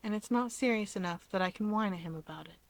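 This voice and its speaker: A woman's voice: medium pitch, quick pace, gravelly, dry recording, lightly acted. Woman reading as a sulky, prim teenage boy. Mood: pouting. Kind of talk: acted dialogue in story reading.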